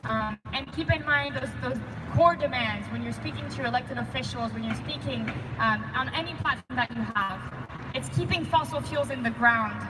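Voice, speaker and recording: A woman's voice: high voice, normal speed, far from the mic, music in the background. A young woman in her twenties giving an impassioned outdoor rally speech as a climate organizer, speaking over a public address system. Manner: impassioned, emphatic. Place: outdoor street gathering. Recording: outdoor PA with long reverb and street noise.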